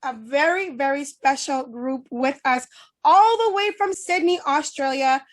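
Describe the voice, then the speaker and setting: A woman hosting an online interview, talking to her guests over a video call. Her voice is high and she sounds enthusiastic and effusive, piling on praise. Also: a little slow. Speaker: host.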